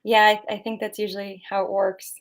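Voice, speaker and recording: A woman's voice: medium pitch, flat delivery, fairly quick, natural voice. An actress playing an easygoing, slightly awkward young woman chatting with an old friend in naturalistic play dialogue. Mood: sheepish, friendly. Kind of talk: naturalistic play dialogue over video call.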